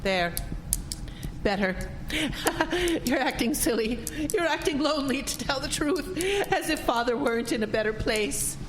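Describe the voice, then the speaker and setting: Radio play role: haughty, devout rich spinster played by an actress, high-pitched, sweeping intonation, formal and fearless. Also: female voice, expressive, a little slow, background noise. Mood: lonely, self-scolding. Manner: formal, imperious, sanctimonious. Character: prim, wealthy, pious spinster, haughty and stubbornly fearless. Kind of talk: scripted radio drama acting.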